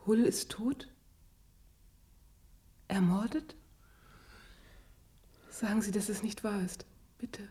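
An actress playing an emotional, dramatic dancer with a breathy, impassioned manner in a German radio drama. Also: female voice, medium pitch, steady tone, takes it slowly, pauses often, dark tone, close to an everyday voice. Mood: shocked, pleading. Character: emotional, flirtatious woman dancer, pleading and dramatic.

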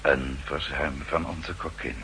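An actor playing a formal, dryly polite aide to a spymaster in a 1960s radio drama, deferential, measured and precise. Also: male voice, rumbling low, fairly even intonation, sharp tone, exaggerated voice. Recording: 1960s radio recording, faint hum and noise.

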